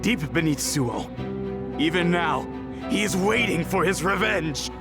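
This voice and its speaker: An actor in a video game playing an ominous man giving a dramatic, gravelly warning. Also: high-pitched, expressive, a little slow, heavily acted. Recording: loud music under the voice.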